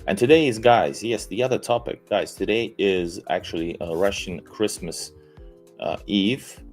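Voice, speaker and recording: Very deep-voiced hesitant man. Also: moderate pace, soft background music. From Finland. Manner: hesitant, many fillers and restarts. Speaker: live stream host. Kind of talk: casual live stream chat.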